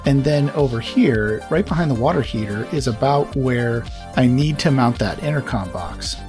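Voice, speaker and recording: A man's voice: medium pitch, expressive, moderate pace, over music. A man hosting a hobby tutorial, explaining things step by step in a plain-spoken way. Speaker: host of an electronics hobby channel.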